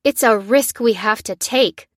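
A woman voicing a bold woman in an audiobook, giving orders with urgent, forceful defiance. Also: on the high side, a little slow, dry recording, clean studio sound. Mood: defiant, insistent.

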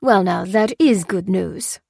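A woman's voice: medium pitch, takes it slowly, creaky, a little mellow, husky, no echo, studio quality, exaggerated voice. A woman voicing a smugly cheerful, callous male head scientist in an audiobook, with a formal, genial, pompous manner. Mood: smooth, dismissive.

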